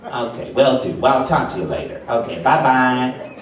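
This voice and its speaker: A man's voice: mid-range voice, lively intonation, normal speed, close to an everyday voice. A man playing a greasy, smug, pushy henchman in a live radio play. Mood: shady, casual. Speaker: amateur stage voice actor. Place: large indoor hall before an audience. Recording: very echoey hall, far mic, noisy.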